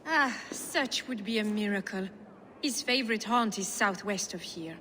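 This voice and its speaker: A woman's voice: mid-range voice, normal speed, pushed and bright, in a large hall, a little hiss or hum, natural voice. A woman playing a sardonic, resigned member of a lord's household in a game cutscene. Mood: wry, resigned. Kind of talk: dramatic game cutscene acting.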